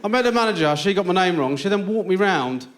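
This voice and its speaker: Man, British accent, slightly high voice, anecdotal stand-up, very noisy live theatre recording. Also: animated, quick pace. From Britain. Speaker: stand-up comedian. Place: on stage in a radio theatre.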